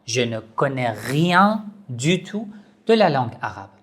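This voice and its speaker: Slow, emphatic man teaching online. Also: medium pitch, sing-song. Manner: slow, repetitive, emphatic explaining. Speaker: online Islamic institute teacher. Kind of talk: slow instructional video talk.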